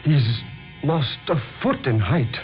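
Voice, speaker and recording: Loyal scientist friend: mid-pitched, formal, watchful; muffled vintage radio drama, music clearly underneath. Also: male voice, sing-song, slow pace. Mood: urgent, amazed. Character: loyal male scientist colleague, skeptical and watchful. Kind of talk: old-time radio drama acting. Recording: dull lo-fi old radio recording, music behind.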